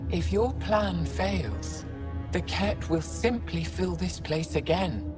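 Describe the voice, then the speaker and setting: An aged alien holy woman in a game cutscene. She speaks slowly and solemnly, with weary defiance, over a clearly audible musical score. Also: on the low side, animated. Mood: grave, resolute. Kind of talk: video game cutscene acting. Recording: loud background music.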